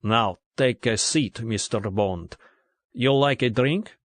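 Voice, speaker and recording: A man voicing a tired, frightened middle-aged Russian agent who speaks formal, halting English with a thick Russian accent. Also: mid-range voice, no room sound, natural voice. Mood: tense, formal.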